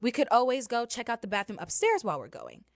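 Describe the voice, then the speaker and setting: A woman's voice: a little high, wildly swooping pitch, fast, no room sound, studio quality. A woman playing an exasperated, doubtful college student in an amateur radio play, with a sarcastic edge. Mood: casual.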